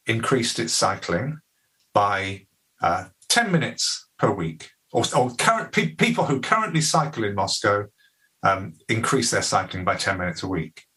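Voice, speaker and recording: Measured, bright-toned man explaining. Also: fairly low pitch, rough recording. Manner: explanatory, measured, frequent ums and restarts. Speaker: presenter of a tool. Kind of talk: answering a question in an online masterclass.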